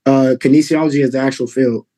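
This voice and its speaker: A man in his thirties from Texas, answering a judge's questions in court. His pitch is middling for a man. He speaks politely and haltingly, often with fillers. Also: steady tone, warm tone. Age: 30s. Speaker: litigant father.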